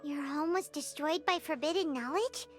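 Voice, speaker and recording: A woman voicing a tiny, childlike, curious companion sprite in a video game, squeaky and bluntly outspoken. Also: normal speed, lightly acted. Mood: puzzled. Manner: squeaky, exclaiming, bluntly inquisitive. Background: game music.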